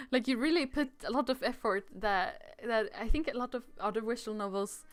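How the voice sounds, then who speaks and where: A woman's voice: a little high, moderate pace. A woman chatting casually and appreciatively as a guest player on a game livestream, often giggly. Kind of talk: casual playthrough chat.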